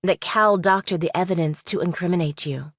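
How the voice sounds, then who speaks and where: A woman's voice: lowish voice, fairly quick, a bit bright, no echo, clean studio sound. A woman playing a shrewd young law student in an audiobook, direct, questioning and analytical. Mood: analytical, challenging. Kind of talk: audiobook character dialogue.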